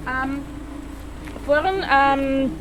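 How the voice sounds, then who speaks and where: A woman's voice: sits high, extremely slow. A woman, not a native speaker of the language, guiding a group at a memorial site with many hesitant fillers and questions to the group. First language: not Spanish. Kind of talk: guided tour talk. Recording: noisy outdoor field recording.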